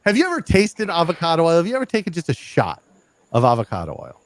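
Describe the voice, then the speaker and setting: A man's voice: fairly high pitch, quick pace, dry recording. A man hosting a live podcast over a video call, telling stories in casual chat and swearing freely. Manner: swears freely, excitable storytelling. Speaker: podcast host.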